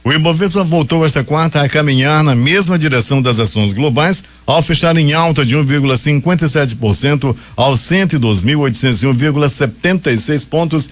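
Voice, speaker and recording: A Brazilian man co-presenting a radio newscast, reading scripted items in a studio. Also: on the high side. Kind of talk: scripted news reading, alternating with co-host.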